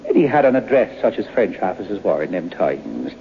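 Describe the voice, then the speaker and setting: An actor playing a storyteller who tells an eerie family tale in a folksy, suspenseful way for old-time radio. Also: male voice, deep voice, sing-song, a little fast. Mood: hushed wonder. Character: Irish storyteller recalling his grandfather's eerie tale. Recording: dull old radio recording, faint noise.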